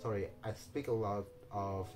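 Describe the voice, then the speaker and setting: A man whose first language is Indonesian, talking casually in halting English with many fillers, restarts and small nervous laughs. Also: lowish voice, steady tone, music in the background. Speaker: vlogger. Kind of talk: casual personal vlog talk.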